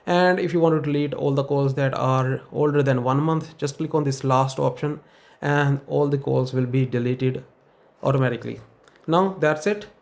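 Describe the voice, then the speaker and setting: A man's voice: medium pitch, moderate pace, vocal fry. A man hosting an informal tech tutorial, explaining settings step by step in a plain, instructional way.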